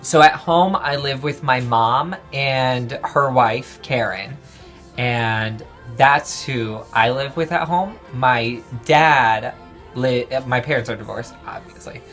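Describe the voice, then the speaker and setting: A man in his twenties being interviewed, talking casually and hesitantly with many pauses and restarts. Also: mid-range voice, fairly bright tone, faint music behind. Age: 20s.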